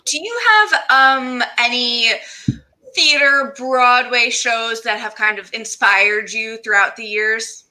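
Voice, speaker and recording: A woman's voice: on the high side, takes it slowly, bright, forceful tone, poor audio. A woman hosting a casual, conversational interview in a gushing, encouraging way. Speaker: interview host.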